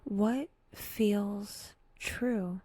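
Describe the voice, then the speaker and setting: A woman softly leading a guided meditation in a breathy, intimate voice that often dips toward a whisper, unhurried with long pauses and gentle wry asides. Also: medium pitch, steady tone, no room sound.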